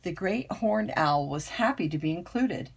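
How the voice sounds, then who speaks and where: An older woman, a grandmother, reading a children's picture book aloud with expressive, storytelling emphasis. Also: on the low side, gravelly, breathy edge, poor audio. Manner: unhurried, dramatic storytelling emphasis.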